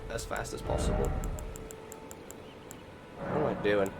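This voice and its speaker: Game music plays clearly behind a man with a fairly low voice. He comments casually on his gameplay, rambling along informally and swearing freely. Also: sing-song, measured pace. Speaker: gaming video creator.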